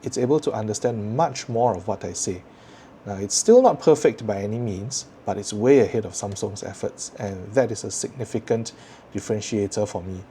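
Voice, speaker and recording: A man with a Singaporean accent speaks in a low, mellow voice as he presents a tech review. His delivery is measured and articulate. Some background noise is audible behind him. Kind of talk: scripted video review to viewers.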